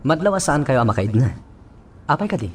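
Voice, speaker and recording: A man's voice: mid-range voice, big pitch swings, a little fast, slight background noise, natural voice. A man playing a dutiful, concerned police chief in a radio drama, with an Ilocano accent.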